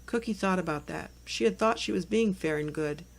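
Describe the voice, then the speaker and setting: A woman's voice: fairly low pitch, a little fast, gravelly. A woman narrating a read-aloud children's book for early readers in short, separated sentences.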